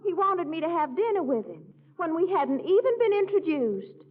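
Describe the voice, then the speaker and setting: Gushy genteel busybody neighbor, high voice, 1940s radio comedy, lo-fi. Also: female voice, big pitch swings, moderate pace. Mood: genteel, coy. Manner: gushing, inquisitive, prim. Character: genteel, nosy, flirtatious lady neighbour. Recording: dull, lo-fi 1940s radio recording.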